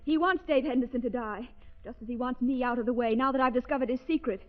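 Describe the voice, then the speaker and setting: A woman's voice: on the high side, fast talker, close to an everyday voice. A woman playing a bold, urgent young investigator in a 1930s radio drama, emphatic and dramatic. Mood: accusing. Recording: muffled vintage 1930s radio recording.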